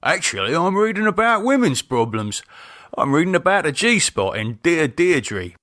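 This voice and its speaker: A man's voice: a little high, sing-song, moderate pace, a bit bright, no room sound, studio quality, voice pushed up. A man voicing a middle-aged British pub landlord in an audiobook, a teasing husband and father with a blunt, colloquial, bantering way of talking.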